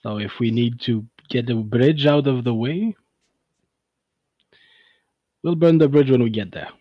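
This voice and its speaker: A man's voice: medium pitch, measured pace, no echo, highs cut off, natural voice. A man voicing an earnest Russian soldier in a tabletop game, speaking clipped, broken English with a put-on Russian accent.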